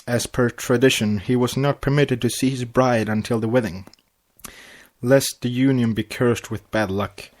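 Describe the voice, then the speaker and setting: A man with a mid-pitched voice reads fiction aloud. He narrates in a storybook style, as a podcast host sharing a tale. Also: steady tone, moderate pace. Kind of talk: storybook narration with acted dialogue.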